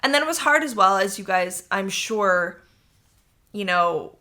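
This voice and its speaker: A woman's voice: mid-range voice, expressive, a little slow, pushed and bright. A young woman hosting her own podcast, chatting casually and rambling in an upbeat way. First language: English. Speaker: podcast host. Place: warm apartment room.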